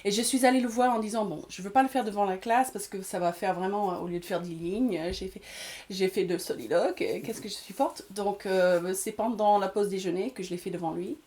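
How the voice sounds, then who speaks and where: A woman in her forties with a mellow, warm voice, guest on an interview. She tells her own story in a relaxed way, stopping often to search for words. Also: mid-range voice, a little fast. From Washington State, USA. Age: 40s. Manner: hesitant, many fillers, storytelling. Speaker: guest, English teacher and actress. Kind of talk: casual interview.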